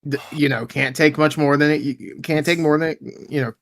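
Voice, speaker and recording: A man's voice: on the high side, steady tone, normal speed. A man talking casually in a group film discussion as a podcast co-host.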